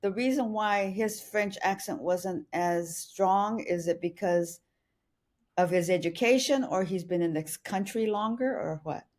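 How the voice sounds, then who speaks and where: A woman co-hosting a podcast, telling a personal story in an earnest, steady way. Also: mid-range voice, a little slow. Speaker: podcast co-host.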